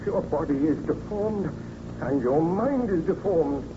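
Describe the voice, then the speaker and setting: An actor playing a remorseful baron who created a monster, speaking earnestly and formally in old-time radio drama style. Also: male voice, a little high, expressive, measured pace. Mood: anguished. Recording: noisy, muffled old radio recording.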